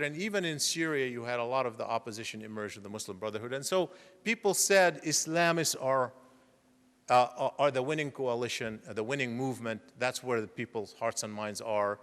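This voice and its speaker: A middle-aged man giving a public lecture, explaining at a measured pace with frequent fillers and restarts. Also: medium pitch, a bit bright. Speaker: guest lecturer. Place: lecture hall. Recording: reverberant lecture hall.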